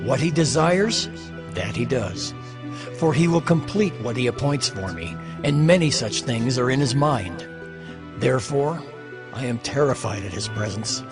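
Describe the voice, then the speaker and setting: A solemn biblical sufferer, played by a man, laments in a bitter, defiant voice of middling pitch. The delivery is declamatory, in the manner of dramatized scripture, with prominent music underneath. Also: animated, a little slow, close to an everyday voice. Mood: searching, fearful.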